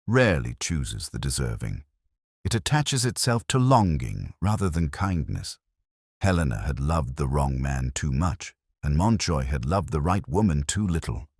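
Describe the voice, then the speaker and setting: An extremely low-pitched man reads literary detective fiction as a first-person narrator. His delivery is measured and formal, with a solemn manner and plenty of rise and fall in the pitch. The sound is dry and close. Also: breathy edge, no room sound. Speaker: narrator of a detective mystery story.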